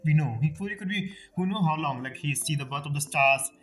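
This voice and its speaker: Mid-pitched streamer with non-native English accent. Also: a little fast, a bit bright. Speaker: game streamer. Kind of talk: casual gameplay commentary, reading dialogue aloud. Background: background game music.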